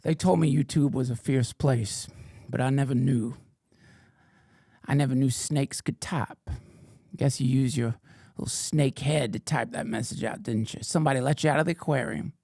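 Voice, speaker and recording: A middle-aged man hosting a comedic stream, riffing in a mock-indignant persona that swings from whispers to shouts. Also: mid-range voice, moderate pace, creaky, dry recording, studio quality. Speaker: podcast host reading chat questions.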